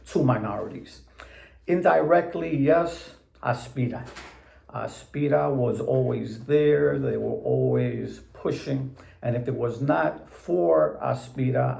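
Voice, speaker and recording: A man in his seventies, born in Puerto Rico, recalling his path to the bench in a slow, deliberate, plain-spoken way. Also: medium pitch, vocal fry, a little mellow, reverberant. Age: 70s. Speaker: interviewee judge. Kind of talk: measured recollection in an interview.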